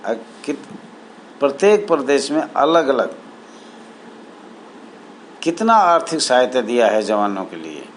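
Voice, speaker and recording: A man speaking as an association spokesman, reading out a formal numbered appeal in a halting, deliberate, earnest way. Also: medium pitch, big pitch swings, rough recording. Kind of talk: formal appeal, reading numbered points aloud with added commentary. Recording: noticeable background noise.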